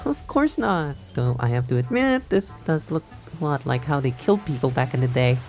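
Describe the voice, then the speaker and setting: Lively, teasing young love interest. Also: female voice, rumbling low, moderate pace, faint music behind. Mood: teasing, reassuring. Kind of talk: visual novel game acting.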